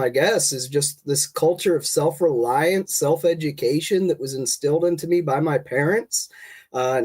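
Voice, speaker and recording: A man's voice: medium pitch, low-quality recording. A man with a stuffy, congested voice, telling his story as a podcast guest. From Kentucky. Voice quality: nasal from congestion, relaxed rambling delivery. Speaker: guest, state party chair. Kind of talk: casual podcast interview.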